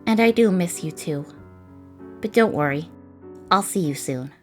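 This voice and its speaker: A woman's voice: on the low side, lively intonation, unhurried, music in the background. A woman voicing a thoughtful, encouraging young woman in a comic dub of a space adventure. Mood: gentle, encouraging.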